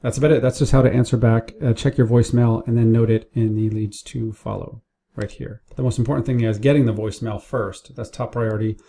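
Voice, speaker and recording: Man, very dark mellow tone, some vocal fry, casual software walkthrough, informal step-by-step explaining, staff training. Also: mid-range voice, fairly even intonation, a little fast, few pauses. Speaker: business owner training staff. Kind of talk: casual screen-share tutorial narration. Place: indoors at a computer, keyboard and mouse clicks.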